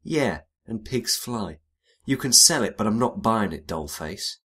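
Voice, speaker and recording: A man playing a wry, hardboiled 1920s private detective, narrating in a dry, world-weary deadpan. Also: fairly low pitch, a little slow, breathy edge, exaggerated voice. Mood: wary, sardonic. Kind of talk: first-person noir detective narration and dialogue.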